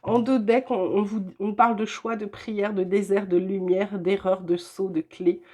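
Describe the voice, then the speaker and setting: A woman's voice: medium pitch, little pitch movement, normal speed, a little mellow. An older woman with a cold, reading weekly tarot guidance from home in a chatty, reassuring way with frequent hesitations. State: has a cold. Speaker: tarot reader.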